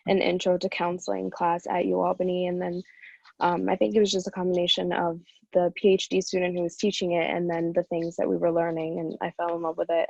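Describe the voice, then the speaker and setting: A woman graduate student on a panel, telling how she found counseling in a relaxed way with many pauses. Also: fairly low pitch, gravelly, no echo. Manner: relaxed, steady, lots of "um". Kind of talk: personal account. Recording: dull lo-fi video call audio.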